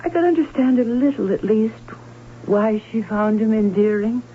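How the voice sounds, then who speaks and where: An actress playing a distraught Baron's sister in a radio drama, telling of a haunting in earnest, formal, dramatic tones. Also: female voice, a little high, normal speed, close to an everyday voice. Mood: insistent. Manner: earnest, dramatic, formal old-fashioned storytelling. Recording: tinny narrow-band old radio with hiss and hum.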